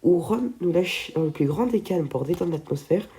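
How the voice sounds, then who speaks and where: Teen girl host with a stuffy cold; low, mellow voice, chatty and a bit stumbling. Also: expressive, moderate pace, a little hiss or hum. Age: teens. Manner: chatty, trips over words and restarts. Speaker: film video co-host. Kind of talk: casual video commentary.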